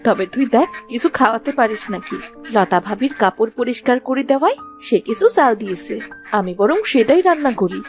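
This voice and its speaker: Casting: woman as homely, practical cartoon mother, animated delivery, light music bed. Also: a little high, a little fast, lightly acted. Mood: concerned. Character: practical village wife and mother, concerned. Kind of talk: cartoon story voice acting.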